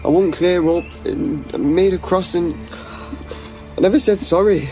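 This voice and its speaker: An actor playing a distraught, betrayed teenage son in a naturalistic radio drama, halting and emotionally raw. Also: male voice, high voice, warm tone, faint music behind, natural voice, rough recording. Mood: haunted, guilty.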